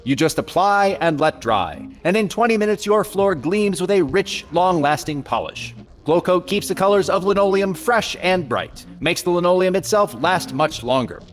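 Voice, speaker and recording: A man's voice: high-pitched, animated, moderate pace. A man playing a polished, upbeat 1940s radio announcer delivering an emphatic sales read. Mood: upbeat selling.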